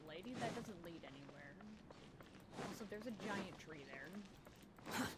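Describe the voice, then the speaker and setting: A woman's voice: on the low side, lively intonation, unhurried, heavy background noise. A woman hosting a gaming video with casual, sarcastic commentary, swearing and muttering to herself. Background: video game music.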